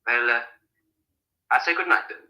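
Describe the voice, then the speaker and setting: A man's voice: mid-range voice, normal speed, bright, forceful tone, echoey room. An actor playing a restless, courteous male traveller in a radio drama, with polite, clipped speech and hesitant starts. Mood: determined, courteous.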